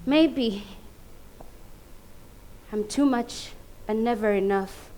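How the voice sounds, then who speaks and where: Ugandan woman doing a dramatic funny stage monologue, really high pitched, takes her time, goes from quiet to loud. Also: very slow, a little hiss or hum, poor audio. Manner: emphatic, dramatic delivery with big swings between hushed and loud. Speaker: competition contestant performing a monologue. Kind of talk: theatrical comedic stage monologue.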